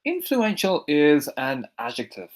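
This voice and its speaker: A man from Ireland with an American-sounding accent teaching English vocabulary, repeating each word slowly and clearly for learners. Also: on the high side, wildly swooping pitch. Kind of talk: recorded vocabulary lesson.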